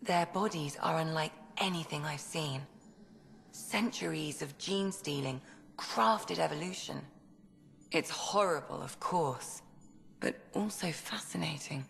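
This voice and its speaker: A science-minded ship's doctor in a space game, her voice very low for a woman and full of fry. She is frank and clinical, with long reverb and music around her. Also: a little slow, fairly bright tone, heavily acted. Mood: fascinated. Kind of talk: video game cutscene acting. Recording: reverberant hall, music under voice.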